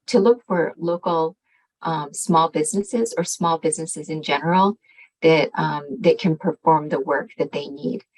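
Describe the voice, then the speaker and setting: Female agency presenter for an explanatory webinar, low register, hesitant delivery peppered with ums. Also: darkish tone, low-quality recording.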